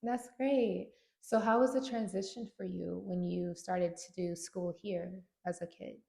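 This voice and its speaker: A woman's voice: fairly low pitch, steady tone, unhurried, creaky, mellow, echoey room. A woman hosting a podcast interview, encouraging her guest with short replies. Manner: encouraging, frequent short backchannels and laughs. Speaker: podcast host. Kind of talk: casual interview chat.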